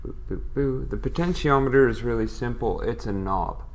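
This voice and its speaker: A man's voice: mid-range voice, normal speed. A man teaching a step-by-step electronics tutorial, explaining patiently and thinking aloud as he works. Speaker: electronics instructor.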